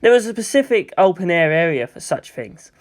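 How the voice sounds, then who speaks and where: A man's voice: sits high, big pitch swings, brisk. A man reading a scripted story aloud as narrator. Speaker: amateur audiobook reader.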